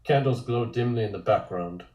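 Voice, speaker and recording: A man's voice: on the low side, moderate pace, darkish tone. A man reading a comic script aloud as narrator. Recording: echoey room.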